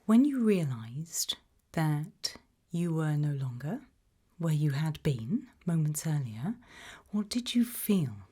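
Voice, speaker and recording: A British woman speaking in a breathy whisper, calmly asking measured questions in an ASMR roleplay interview. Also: low-pitched. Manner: calm, measured, careful questioning. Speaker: ASMR roleplayer playing an abduction investigator.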